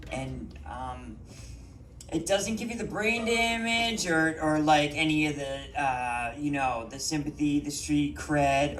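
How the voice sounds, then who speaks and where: A man with a Boston accent doing a rambling, self-mocking stand-up set on a comedy club stage, with plenty of ums. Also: medium pitch. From Boston, USA. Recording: roomy, mic at a distance.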